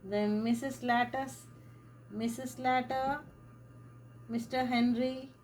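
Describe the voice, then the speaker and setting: Slow, repetitive woman teaching a lesson. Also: a little high, steady tone, darkish tone, slight background noise. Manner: slow, repeats words and phrases while writing. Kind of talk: classroom lesson explaining a play.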